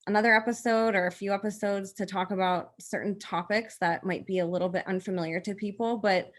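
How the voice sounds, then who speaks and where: A woman's voice: medium pitch, steady tone, a little fast. A young adult woman hosting a podcast interview over a video call, earnest and admiring. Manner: earnest, admiring, speaks in long flowing sentences. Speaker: podcast host. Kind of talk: interview introduction. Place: home room, indoors.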